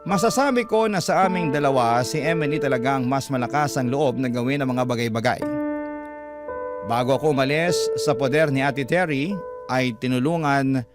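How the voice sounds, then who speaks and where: A man's voice: medium pitch, moderate pace. A man narrating a first-person dramatic story in the style of a radio program. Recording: loud music under the voice. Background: loud background music.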